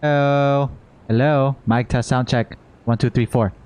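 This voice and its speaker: A man's voice: mid-range voice, steady tone, a little slow, darkish tone, clear, resonant tone. A man streaming a game, reacting in casual, slangy commentary with playful heckling.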